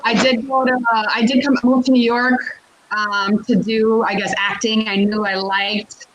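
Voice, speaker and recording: Animated, talkative higher-voiced woman guest. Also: measured pace, highs cut off. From born in Puerto Rico, raised in Connecticut, United States. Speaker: guest, comedian and actor. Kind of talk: casual interview chat.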